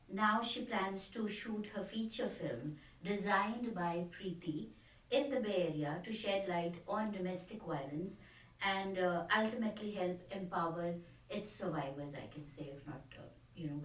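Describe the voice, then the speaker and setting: A woman radio host with an Indian accent conducts an interview at an average pitch. She speaks in a conversational but halting way, often searching for her words. The sound is roomy and echoing, a little distant from the microphone. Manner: hesitant, halting with frequent fillers.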